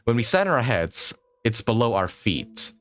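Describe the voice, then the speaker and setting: A man's voice: medium pitch, sing-song, moderate pace, fairly bright tone, soft background music. A man playing a pompous, argumentative male scholar, reading game text aloud dramatically. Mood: challenging.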